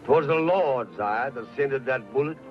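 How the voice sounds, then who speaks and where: An actor playing a solemn, admonishing frontier preacher who speaks in measured, scriptural pronouncements in a radio western. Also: male voice, medium pitch, close to an everyday voice. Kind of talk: old-time radio western acting. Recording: dull old radio recording, music behind.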